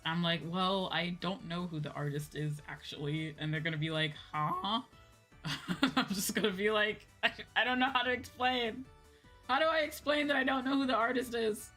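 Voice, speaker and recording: A woman streamer chatting casually with her viewers in an animated, informal way, often checking in as she goes. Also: medium pitch. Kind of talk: casual livestream chat. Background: background music.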